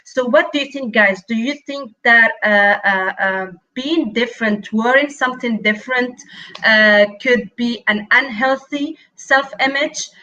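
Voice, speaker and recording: A woman hosting a panel discussion, speaking in halting phrases with repeated words as she puts questions to the others. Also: fairly high pitch, bright, forceful tone, muffled recording. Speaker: podcast host.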